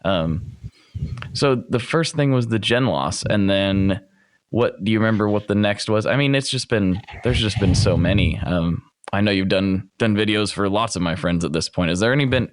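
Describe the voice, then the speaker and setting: A man's voice: lowish voice, fairly quick, no room sound. Chatty podcast host, man, radio voice. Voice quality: radio announcer voice. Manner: chatty, friendly, thinks aloud. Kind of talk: casual interview.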